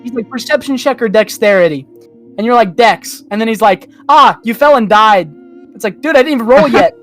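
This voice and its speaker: A podcast panelist chatting casually and jokingly, telling animated hypotheticals and acting out little dialogues. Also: mid-range voice, fairly quick, faint music behind. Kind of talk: casual, joking group chat.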